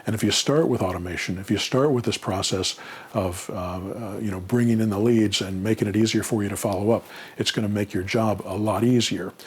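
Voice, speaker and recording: A man's voice: fairly low pitch, steady tone, fairly quick, slightly rough. A man giving casual, unscripted advice as a mentor answering questions, in a plainspoken, conversational way.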